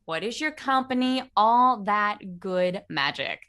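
A woman's voice: mid-range voice, lively intonation, takes it slowly, a bit bright, smooth. A woman co-hosting a podcast in casual chat, effusive and emphatic as she reacts and asks questions. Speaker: podcast co-host.

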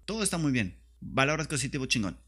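Casting: male comedy podcaster, northern Mexican accent, mid-pitched voice, sweary and sarcastic monologue style. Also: very animated, quick pace, a bit bright, husky. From Tijuana, Mexico. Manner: slangy, profane, sarcastic. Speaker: podcast host.

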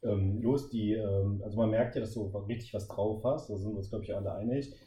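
A man's voice: on the low side, steady tone, a little fast, mellow. A man hosting a podcast, chatting and asking questions casually. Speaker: podcast host. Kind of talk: casual interview chat. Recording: echoey room, faint background noise.

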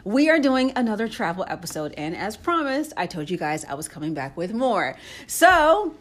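A woman's voice: medium pitch, big pitch swings, normal speed. Bubbly, sing-song woman host. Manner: gushing and enthusiastic, many "wow" and "awesome" replies. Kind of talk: casual chat.

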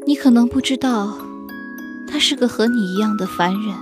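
A woman playing an ageless fox spirit in an audio drama, aloof and wistful, gently teasing with hidden fondness. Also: on the high side, normal speed, music in the background, natural voice.

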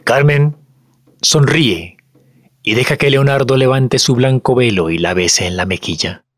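A man's voice: medium pitch, unhurried, dry recording, studio quality. A man reading dramatic scripted narration as the narrator of an audio drama. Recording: loud music bed under narration.

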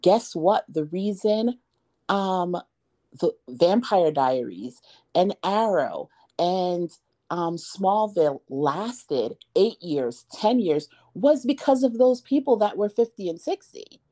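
A woman's voice: lowish voice, animated, slow, dark tone. A woman in her thirties co-hosting a casual talk podcast, making her points emphatically with rhetorical questions. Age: 30s. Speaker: podcast co-host. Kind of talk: casual chat.

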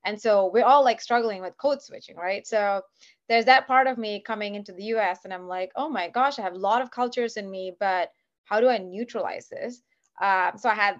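A woman in her thirties from Kuwait, chatting lively as a podcast guest. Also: medium pitch, highs cut off. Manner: lively, animated, quick asides. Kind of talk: casual interview chat.

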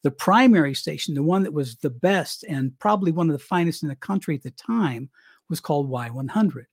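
Husky-voiced older man spinning radio tales. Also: a little high, brisk, no echo, clean studio sound. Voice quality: young-sounding voice. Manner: chatty, animated storyteller. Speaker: guest, former radio DJ. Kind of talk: casual storytelling interview.

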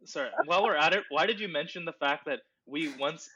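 A man's voice: on the high side, fast talker, lo-fi sound, over a noisy crowd. A young man co-hosting a podcast, teasing and interrupting his friends in casual banter. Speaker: podcast co-host.

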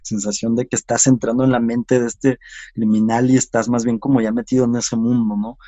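A Mexican film director talking casually in an interview, halting with many restarts and fillers. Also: male voice, medium pitch, level tone, warm tone, dry recording, muffled recording.

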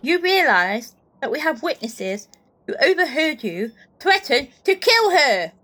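A woman playing a store security guard in an audio drama, who speaks briskly and with authority. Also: high-pitched, big pitch swings, fairly bright tone, full character voice. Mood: stern. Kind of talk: amateur audio drama acting.

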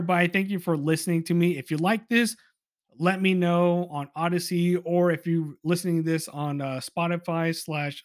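A man with a notably high voice hosts a solo podcast. He talks casually and informally, giving exasperated opinion commentary. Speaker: podcast host.

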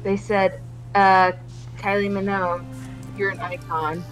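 A woman's voice: medium pitch, level tone, measured pace, soft background music, low-quality recording. A woman co-hosting a podcast, chatting playfully and enthusiastically as a movie plays. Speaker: podcast co-host. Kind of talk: casual movie reaction chat.

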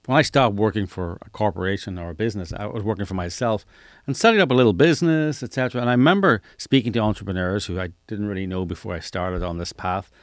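A middle-aged man talks as an interview host. His voice is on the low side and recorded very dry. He is conversational and emphatic, repeating phrases to stress his point. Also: quick pace, no room sound. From Northern Ireland. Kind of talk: casual conversational interview talk.

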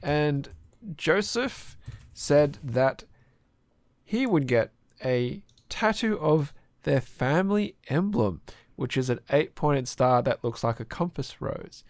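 A hoarse man co-hosting a podcast, chatting casually and rambling with fillers. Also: a little high, lively intonation, slow pace, no echo. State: hoarse, sore throat. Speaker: podcast co-host.

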